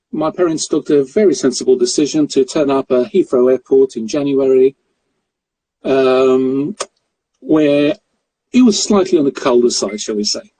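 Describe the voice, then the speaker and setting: A middle-aged man from Mozambique, a podcast guest telling stories in a relaxed, self-deprecating way with frequent chuckles. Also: a little high, a little hiss or hum, rough recording. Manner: jokey, self-deprecating storyteller who laughs often. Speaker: guest, currency broker. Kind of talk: casual interview chat.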